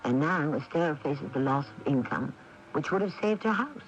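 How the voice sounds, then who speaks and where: A man narrates as host of a radio mystery, urbane and wry with measured phrasing. The old broadcast tape is dull and slightly noisy. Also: fairly high pitch, animated. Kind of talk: scripted narration, urbane storytelling.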